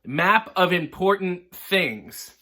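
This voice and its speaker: Animated high-voiced man, wry storyteller. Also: takes it slowly. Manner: mock-concerned, wry, digressive. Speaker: host reading a children's book aloud. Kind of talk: comic storybook reading with running commentary.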